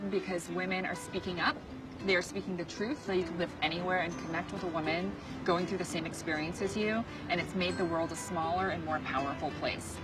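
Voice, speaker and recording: A woman's voice: fairly low pitch, steady tone, fairly quick. A woman in her thirties, whose first language was Chinese, chatting upbeat in an interview on location. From United States. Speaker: interview guest. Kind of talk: casual interview chat. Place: car, office and rooftop, on location. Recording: music bed under the talk.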